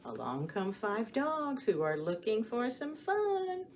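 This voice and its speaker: A woman reading stories and rhymes aloud for young children as a storytime host, with a sing-song, expressive delivery, often putting questions to the children. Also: fairly high pitch. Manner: addresses children directly with questions and pauses for answers. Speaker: children's librarian hosting storytime. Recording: clearly audible background noise.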